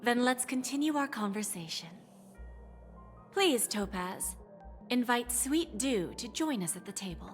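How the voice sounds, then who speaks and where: Composed, calculating corporate negotiator. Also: female voice, on the high side, expressive, faint music behind. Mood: formal, gracious. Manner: composed, formal, deliberate. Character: poised, calculating female corporate executive negotiator. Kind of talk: scripted video game voice acting.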